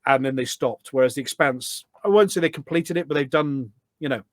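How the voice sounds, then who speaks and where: A man's voice: mid-range voice, fairly quick, dry recording, clean studio sound. A man talking enthusiastically as a guest in a casual interview, explaining things in a rambling way. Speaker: podcast guest, gaming community event organiser.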